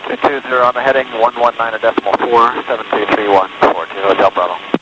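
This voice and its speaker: A man's voice: medium pitch, fast. A man speaking as a pilot, giving clipped readbacks over an aircraft radio. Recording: very noisy cockpit radio transmission.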